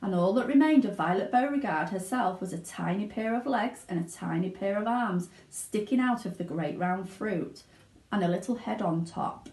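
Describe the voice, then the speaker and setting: A woman reading a children's storybook aloud as narrator, in an expressive style. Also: mid-range voice, moderate pace, reverberant, rough recording. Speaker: school teaching assistant reading aloud.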